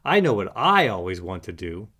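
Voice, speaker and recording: Man doing a goofy, animated storybook puppy. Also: on the low side, moderate pace, pitched below the performer's own voice, heavily acted. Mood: keen, reassuring. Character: playful goofy young male puppy.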